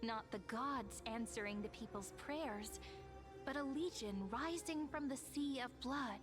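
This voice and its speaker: A woman voicing a gentle, playful young companion who narrates a legend and teases her friend, in soft scripted game dialogue over loud music. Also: a little high, a little slow, close to an everyday voice. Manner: soft, teasing, storytelling.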